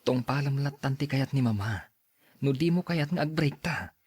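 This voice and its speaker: A man acting a devoted young boyfriend who obeys his mother, pleading softly in an Ilocano radio drama. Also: medium pitch, expressive, moderate pace, slightly rough, no room sound.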